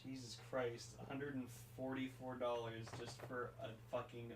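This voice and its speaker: A man's voice: medium pitch, level tone, moderate pace. A man in his late twenties co-hosting a podcast, chatting bluntly and swearing freely. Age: 20s. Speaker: podcast co-host. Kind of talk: casual chat. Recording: bright, roomy, off-mic with faint hiss.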